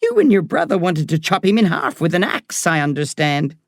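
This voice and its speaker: A reader voicing a blunt but kind woman doctor with an Irish accent, earthy and warm in her talk. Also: medium pitch, very animated, normal speed, creaky, no echo, studio quality, pitched above the performer's own voice. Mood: wry. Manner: salty, earthy talk said with warmth. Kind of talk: audiobook character reading.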